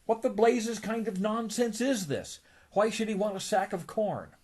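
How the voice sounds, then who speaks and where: A man voicing a grumpy old miserly billionaire duck uncle, gruff and blustering, for a comic story. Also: sits high, lively intonation, measured pace, a little mellow, voice pushed up. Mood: exasperated.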